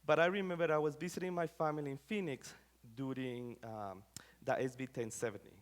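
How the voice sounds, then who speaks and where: A man's voice: mid-range voice, fairly even intonation, brisk. A middle-aged man from Rica, giving an academic talk in a conversational way with many fillers and phrasing. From Costa Rica. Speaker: scholar giving a lecture.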